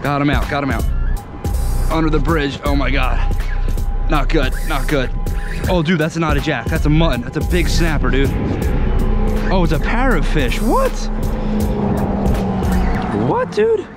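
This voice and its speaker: A young man filming his own fishing trip on a bridge outdoors, talking casually and getting excited, calling everyone "dude". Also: a little high, unhurried, pauses often. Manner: excitable, says "dude" constantly. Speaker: fishing vlogger. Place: outdoors on a bridge over water. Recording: loud music behind the voice.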